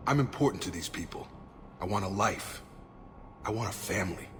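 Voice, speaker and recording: A weary, principled soldier speaks in a low, grounded voice. He is earnest and plainspoken, in the manner of a dramatic video game, with clear noise behind him. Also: lively intonation, fairly quick. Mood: reflective. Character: weary, principled male soldier protecting his people.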